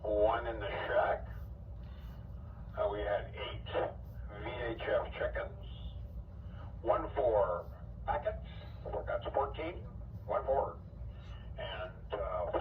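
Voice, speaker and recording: A man's voice: lowish voice, a little hiss or hum. A man giving a station report as a radio operator, in procedural check-in style over a radio transmission. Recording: echoey, distant, muffled radio audio.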